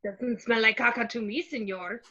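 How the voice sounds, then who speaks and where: A woman's voice: a little high, fairly even intonation, normal speed, bright, forceful tone, breathy edge. A woman reading the part of a foul-mouthed, joking boy in a mocking tone. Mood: teasing. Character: wisecracking foul-mouthed boy, cocky joker. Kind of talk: table read over a call. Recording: dull lo-fi.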